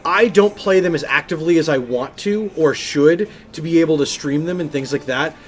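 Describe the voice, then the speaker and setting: High-voiced man chatting on a gaming podcast. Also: moderate pace, slight background noise. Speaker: podcast co-host. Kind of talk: casual gaming podcast chat.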